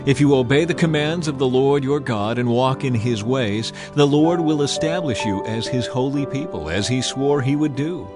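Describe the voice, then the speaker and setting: A man voicing a solemn, commanding prophet addressing his people in a dramatized scripture reading, declamatory and deliberate. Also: mid-range voice, close to an everyday voice. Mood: solemn, assuring. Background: music behind the voice.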